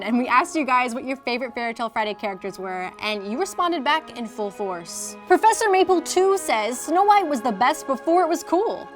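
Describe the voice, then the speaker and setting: A woman's voice: sits high, fairly bright tone. A woman hosting a comedy series, telling scripted stories to viewers in a tongue-in-cheek way. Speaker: host of a comedy fairy tale series.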